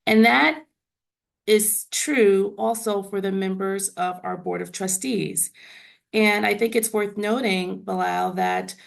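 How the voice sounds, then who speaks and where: A woman in her forties with a raspy voice, hoarse from laryngitis, answering interview questions in a measured way over a video call. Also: medium pitch, fairly even intonation. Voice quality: raspy, gravelly. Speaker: university trustee guest.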